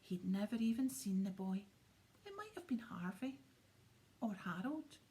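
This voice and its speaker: A woman's voice: mid-range voice, sing-song, measured pace, warm tone. A woman voicing the muttered, half-whispered thoughts of a grumpy, uneasy middle-aged office man.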